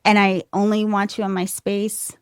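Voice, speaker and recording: A soft-voiced woman from the United States, telling candid stories as a podcast guest, laughing often and poking fun at herself. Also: medium pitch, moderate pace, clear, resonant tone, dry recording, studio quality. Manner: laughs often, animated and self-deprecating. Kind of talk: candid conversational storytelling.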